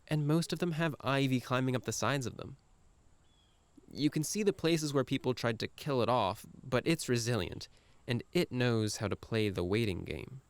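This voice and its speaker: A man's voice: mid-range voice, smooth, dry recording. A man performing a scripted first-person monologue in a reflective, musing manner with a wry edge.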